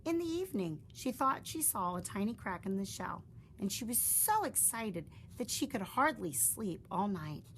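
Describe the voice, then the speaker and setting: A woman reading a children's story aloud with slow, drawn-out, expressive phrasing. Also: mid-range voice, slight background noise.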